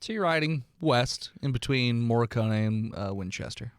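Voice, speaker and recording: Man hosting, with a creaky edge. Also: medium pitch, expressive, normal speed, dry recording, studio quality. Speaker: podcast host and game master. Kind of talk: casual banter and tabletop game narration.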